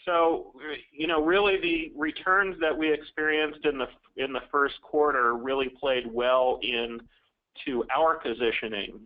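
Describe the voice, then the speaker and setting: A man's voice: on the high side, fairly even intonation, measured pace. Businesslike man on a dull conference-call line. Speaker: chief investment officer. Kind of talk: investment report presentation.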